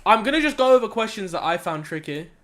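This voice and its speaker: Young male streamer, high voice, laid-back slangy chat while working problems aloud. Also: big pitch swings, fast talker, a bit bright. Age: young adult. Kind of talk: casual live-stream chat while solving maths.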